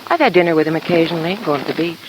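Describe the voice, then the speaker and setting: An actress playing a polite, earnest young secretary in a radio drama. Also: female voice, fairly low pitch, animated, very fast, natural voice. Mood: earnest, troubled. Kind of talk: 1950s radio drama acting. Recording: noisy old radio recording.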